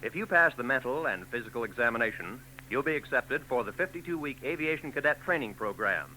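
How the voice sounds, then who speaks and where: A man's voice: mid-range voice, expressive, fast, sharp tone, poor audio. A man reading a recruiting announcement and show introduction in a formal, emphatic 1940s radio style. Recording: old radio broadcast tape with faint noise.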